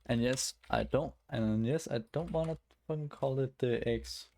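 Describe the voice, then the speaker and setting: A man's voice: medium pitch, normal speed, creaky, warm tone. A man from Denmark with a Danish accent, chatting casually on a live stream while he codes, blunt, swearing often and laughing.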